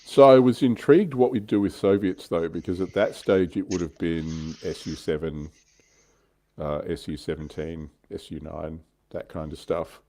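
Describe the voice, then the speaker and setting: A man with a very low voice talks casually as a livestream co-host. He thinks aloud, hesitating and hedging as he speculates about his hobby. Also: steady tone, normal speed, mellow.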